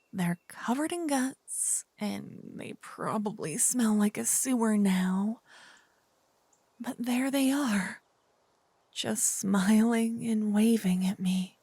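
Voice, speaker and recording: A woman playing an earnest, chatty young paladin girl in an ASMR fantasy roleplay, with soft, expressive, dramatic delivery. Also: medium pitch, vocal fry, husky, no echo, exaggerated voice. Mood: smitten, swooning.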